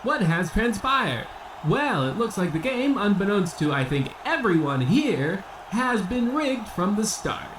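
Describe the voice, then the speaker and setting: A pompous, Rome-obsessed boxing announcer played by a man, high-voiced and wildly animated. The commentary is grandiose old radio comedy, with faint noise behind it. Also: unhurried, heavily acted, poor audio.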